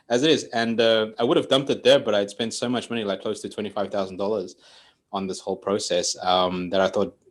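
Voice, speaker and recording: A man's voice: fairly low pitch, steady tone, moderate pace. A man in his forties from Greece, a guest on a podcast, telling his story in a chatty way and laughing often. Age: 40s. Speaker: podcast guest, coach and trainer. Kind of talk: casual storytelling interview.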